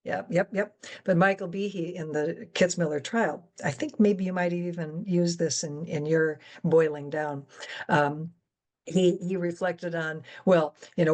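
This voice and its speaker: Articulate woman explaining in conversation. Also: on the low side. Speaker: guest moderator and science education advocate.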